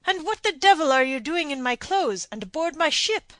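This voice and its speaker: A woman reading an audiobook and voicing a captured Spanish pirate nobleman who cries out in alarm, high and loud. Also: animated, normal speed, no room sound. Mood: outraged. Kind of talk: audiobook character voice.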